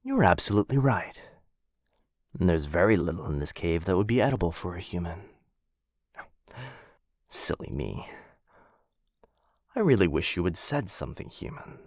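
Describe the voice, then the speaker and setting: A man's voice: sits low, sing-song, moderate pace, pauses often, dry recording, studio quality, full character voice. A man playing a possessive, courteous dragon captor who dotes on a human pet in a boyfriend ASMR fantasy roleplay, with a coaxing, condescendingly affectionate manner. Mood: sheepish, concerned. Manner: mock-polite, coaxing, theatrical, condescendingly affectionate.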